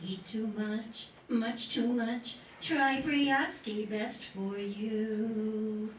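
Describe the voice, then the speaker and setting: A woman's voice: on the high side, steady tone, extremely slow, slight background noise. A woman singing an old-time style radio advertising jingle. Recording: roomy, distant, faint music.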